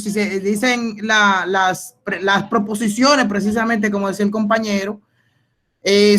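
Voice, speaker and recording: A man with a Dominican accent gives an informal, halting explanation as a student in an online class, often repeating and rephrasing. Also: high-pitched, bright, forceful tone. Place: video conference call.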